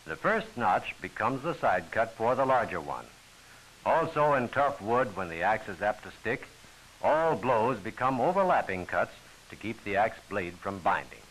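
A man's voice: fairly low pitch, sing-song, normal speed, fairly bright tone, low-quality recording. A man giving formal, instructional scripted narration for a training film, on an old film soundtrack.

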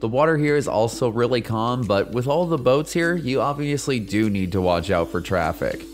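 A man's voice: medium pitch, lively intonation, moderate pace. A man narrating a scripted kayaking travel vlog as a voice-over, giving friendly, informative tour-guide directions. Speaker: kayaking vlogger. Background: soft background music.

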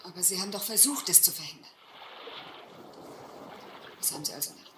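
A woman's voice: on the low side, a little fast, close to an everyday voice. An actress playing a principled, genteel young lady castaway in a radio play, earnest and reproachful. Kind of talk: German radio play acting. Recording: roomy, faintly noisy radio play recording.

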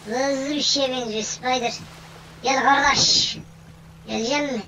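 A playful, slangy gamer giving running commentary on a video game, boasting and repeating phrases excitedly. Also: high voice, unhurried. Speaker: gameplay video narrator. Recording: noisy, distant-sounding game commentary recording.

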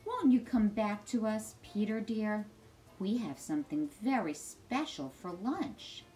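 A gentle storybook mother, acted by a woman reader, coaxing her child in a sing-song way. Her pitch is lively and her pace unhurried. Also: soft background music, lightly acted. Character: gentle mother calling to her young son.

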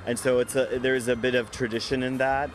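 A man in his thirties, a bakery co-owner, answering in a live TV interview outdoors in a relaxed, slightly halting way. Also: medium pitch. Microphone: reporter's handheld mic.

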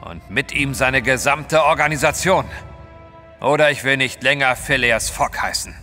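An actor playing a composed, resolute gentleman adventurer in an audio drama, speaking with emphatic solemnity. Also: mid-range voice, normal speed, in a large hall, soft background music, close to an everyday voice. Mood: grimly determined. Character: resolute, level-headed gentleman adventurer hero.

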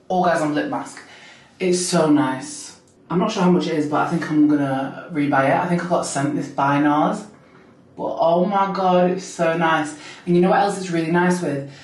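Brief: deep-voiced woman in her twenties, gushing vlog chat, echoey room, camera set back. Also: far from the mic. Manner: chatty, gushing, giggly. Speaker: vlogger. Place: home bathroom at night, then a bright kitchen. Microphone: camera on a low stand.

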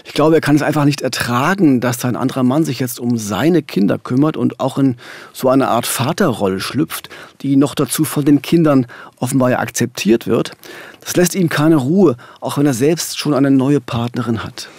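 A man's voice: medium pitch, normal speed, darkish tone, dry recording. A middle-aged man narrating and explaining a true-crime case as a podcast host. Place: dark radio studio. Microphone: studio microphone with headphones.

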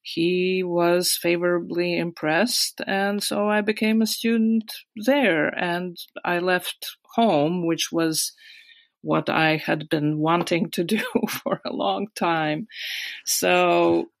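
Slow, hesitant Latvian-accented woman. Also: on the low side, fairly even intonation, vocal fry, no echo. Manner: hesitant, many fillers and restarts. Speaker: guest. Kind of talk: interview recollection.

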